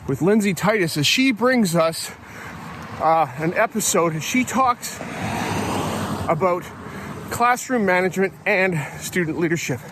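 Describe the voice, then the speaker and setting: A middle-aged Canadian man with a slightly raised pitch rambles casually, full of fillers and restarts, as he walks outdoors at dawn. Faint traffic and outdoor hiss sit behind his phone-recorded voice. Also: animated, unhurried, pauses often. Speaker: podcast host. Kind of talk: casual spoken reflection. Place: outdoors on an early morning walk.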